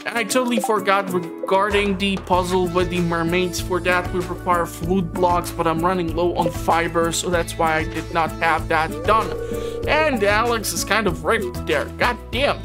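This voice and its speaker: A woman's voice: on the low side, steady tone, normal speed, music in the background. A woman casually commenting on her farming game, rambling and tripping over words with joking asides. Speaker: gameplay commentator. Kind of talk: casual let's-play commentary. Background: game soundtrack.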